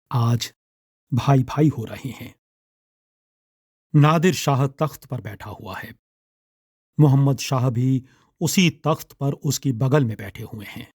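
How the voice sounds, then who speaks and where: A man narrating a scripted literary story in a measured, dramatic storytelling manner. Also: mid-range voice, a little mellow, breathy edge, no room sound, clean studio sound.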